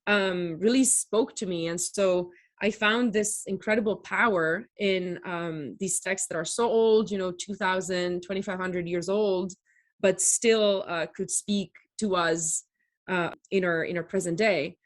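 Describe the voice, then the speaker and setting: A young woman from Italy, a native Italian speaker, answering as a guest in a video podcast interview, thoughtful with frequent pauses. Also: on the low side, fairly even intonation. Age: young adult. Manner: thoughtful, many fillers, laughs easily. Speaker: podcast guest, doctoral researcher. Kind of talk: conversational interview.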